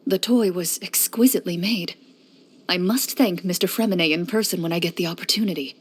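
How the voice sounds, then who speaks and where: An actress voicing a composed, formal woman champion duelist for a fantasy video game, speaking in a measured, reserved, articulate way. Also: fairly low pitch, breathy edge. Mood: composed, courteous. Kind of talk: scripted video game character voice lines.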